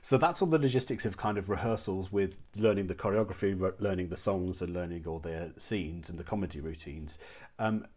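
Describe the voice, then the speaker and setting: A man's voice: low-pitched, a little fast, a little mellow. A man interviewing a guest in a conversational way, asking curious, detailed questions.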